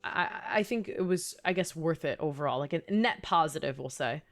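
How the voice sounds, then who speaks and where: Female streamer, New York accent, slightly low pitch, chatty and musing game commentary over faint music. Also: expressive, normal speed. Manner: musing, thinking aloud in loose, chatty phrasing. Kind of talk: casual game livestream commentary.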